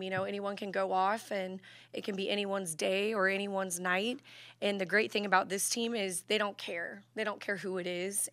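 A woman basketball coach in her thirties answers press questions at a table microphone. Her voice is bright and fairly flat in pitch, with frequent fillers and restarts. The sound is dry and close. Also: no room sound. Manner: steady, even delivery with many fillers and restarts. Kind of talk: postgame press conference answers. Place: press conference room at a table.

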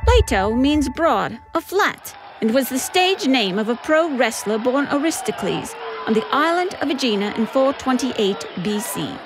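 High-voiced, animated woman narrator. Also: measured pace, few pauses, poor audio. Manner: wry, theatrical. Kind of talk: scripted comic narration. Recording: loud music behind the voice.

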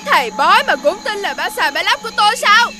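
Crafty orphan boy trickster for a cartoon, piping high voice, wheedling, loud music behind. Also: full character voice. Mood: gloating, then resolved. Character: cunning orphan village boy, lying trickster, playing pitiful. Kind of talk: animated fairy-tale cartoon acting.

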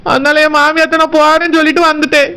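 Woman as a high-voiced aggrieved young village wife. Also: flat delivery, fast talker, bright, forceful tone. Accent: Kanyakumari Tamil. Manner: plaintive, insistent complaining. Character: aggrieved young married village daughter, fed up. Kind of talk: amateur village cartoon dialogue.

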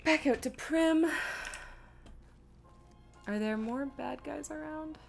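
A woman's voice: high-pitched, takes it slowly, creaky, soft background music. A woman streaming a video game, thinking aloud in casual commentary with self-deprecating, exasperated asides.

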